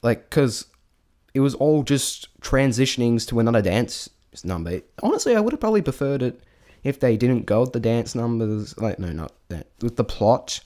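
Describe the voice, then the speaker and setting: A man's voice: medium pitch, sing-song, moderate pace, mellow. A man giving an unscripted spoken review in a rambling, hesitant way, often stammering and breaking off mid-thought. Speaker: amateur reviewer sharing opinions.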